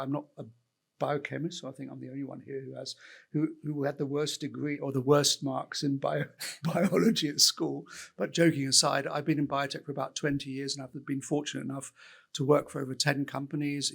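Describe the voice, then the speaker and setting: A man's voice: medium pitch, gravelly, fairly bright tone, husky. A man introducing himself on a business panel, self-deprecating and joking. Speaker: biotech CEO panellist.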